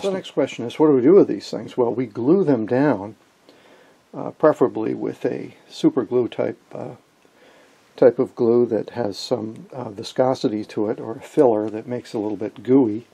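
A man giving an informal hands-on electronics tutorial in a gravelly, slightly husky voice, posing questions and answering them. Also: medium pitch, warm tone. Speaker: electronics hobbyist presenter.

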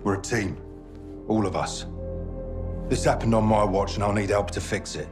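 An actor playing a grim masked special forces lieutenant in a game cutscene, speaking in clipped, commanding phrases. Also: male voice, fairly low pitch, normal speed, over music, close to an everyday voice. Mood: terse, grim. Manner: clipped, terse. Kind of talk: video game cutscene acting.

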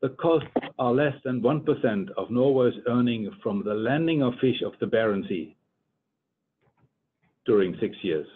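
A man's voice: lively intonation, unhurried. Older man, phone-like sound. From Germany. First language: German. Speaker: research scientist giving a presentation. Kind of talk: conference presentation. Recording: muffled phone-like line, rumbling noise.

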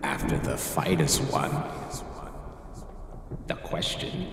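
An actor playing a sinister, theatrical horror anthology host, gravelly and portentous, speaking slowly and grandly. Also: male voice, very deep voice, huge pitch swings, full character voice. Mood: ominous, portentous. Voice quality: very gravelly, croaking. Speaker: show host. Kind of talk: scripted horror anthology narration. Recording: echoing long reverb, faint noise.

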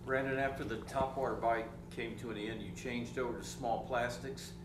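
A man's voice: medium pitch, steady tone, normal speed, reverberant, recorded from a distance, background noise. Man asking questions from across a room. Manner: plain, direct questions. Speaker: press conference questioner.

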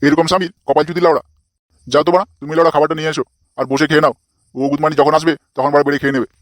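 A man acts out a crude, lecherous old father-in-law from a comedy cartoon. He talks extremely fast in a dry, close sound and a fairly high male pitch, blustering and swearing. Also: level tone, no room sound, close to an everyday voice. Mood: bossy, crude. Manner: foul-mouthed, blustering. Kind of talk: comic cartoon dialogue.

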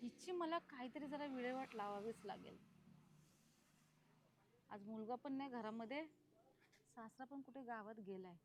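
A high-pitched, domineering mother-in-law acted by a woman in a Marathi family drama. She speaks colloquial Maharashtrian Marathi, scolding and repeating herself insistently. There is faint noise behind her. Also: animated, a little fast, bright, forceful tone. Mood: scheming muttering. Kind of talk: amateur family drama acting.

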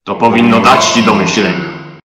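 A man playing a stern Christmas ghost in an amateur audio drama, speaking in a measured, admonishing way. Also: on the low side, steady tone, crowd noise, natural voice. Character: stern Christmas ghost warning an old woman. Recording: echoey reverberant voice with noise.

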